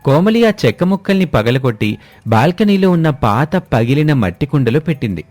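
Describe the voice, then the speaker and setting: A man's voice: mid-range voice, big pitch swings, brisk, darkish tone, dry recording, faint music behind. A man narrating a scripted moral story as its storyteller.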